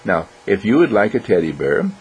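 A man voicing Mister Candle, a kindly talking candle who tells children bedtime stories in a gentle, reassuring, deliberate way. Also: on the low side, big pitch swings, breathy edge, full character voice, rough recording.

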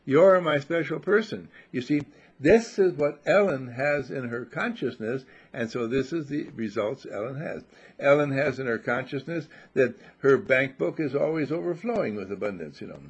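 Husky-voiced man preaching emphatically. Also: medium pitch, expressive, moderate pace. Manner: emphatic, repetitive, rhetorical questions. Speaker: spiritual teacher. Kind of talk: sermon. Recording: dull lo-fi recording.

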